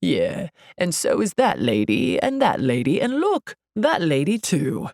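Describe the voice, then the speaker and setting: A woman voicing a surly, scornful male security guard in an audiobook, gruff, curt and sarcastic. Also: fairly high pitch, huge pitch swings, a little slow, a little mellow, no room sound, studio quality, exaggerated voice.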